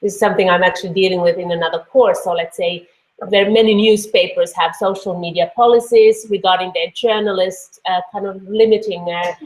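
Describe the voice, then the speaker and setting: Finnish woman lecturing on a video call, chatty, lots of uhs and restarts, roomy echo. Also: fairly low pitch. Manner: conversational, many fillers and restarts. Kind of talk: online course lecture. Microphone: computer mic on a video call. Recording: echoey room.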